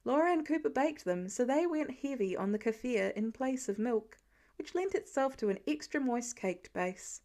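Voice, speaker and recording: A woman reading a story aloud as an expressive audiobook-style narrator of fan fiction. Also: a little high, normal speed.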